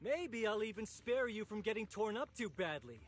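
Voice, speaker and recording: Game villain: ruthless zealot experimenter, highish husky voice, swings widely in pitch, sneering and bitter. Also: male voice, sing-song, moderate pace. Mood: contemptuous, menacing. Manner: theatrical, taunting, sneering villain delivery. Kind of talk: dramatic video game voice acting.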